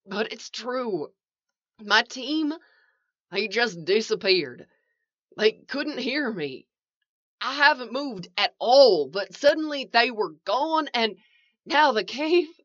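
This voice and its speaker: A woman's voice: medium pitch, sing-song, normal speed, hardly any pauses, sharp tone. A woman voicing a frightened, trapped male caver in an audio story, with a shaky, strained, pleading delivery. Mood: shaken, pleading. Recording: echoey, slightly dull home recording.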